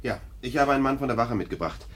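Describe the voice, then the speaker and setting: An actor playing a brisk, skeptical police lieutenant in a radio play, giving curt orders and questions. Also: male voice, medium pitch, a bit bright, breathy edge. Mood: brusque.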